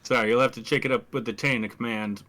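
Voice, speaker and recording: A man's voice: medium pitch, fairly even intonation, fast, fairly bright tone, lightly acted. A man playing a wry, weary marine squad leader in a tabletop game, talking in a dry, diplomatic way. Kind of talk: tabletop roleplay acting.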